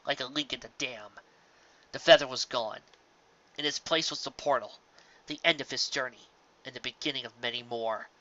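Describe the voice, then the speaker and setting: Male story narrator, intense forceful tone, dramatic scripted delivery, emphatic phrasing, slightly muffled recording. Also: mid-range voice.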